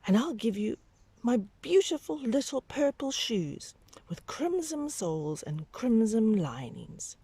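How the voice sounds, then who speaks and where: A woman's voice: on the high side, sing-song, slow pace, dark tone, slightly rough, dry recording, pitched above the performer's own voice, heavily acted. A woman voicing a meek, polite little boy who pleads and bargains in a sing-song way, in a children's storybook. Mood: pleading.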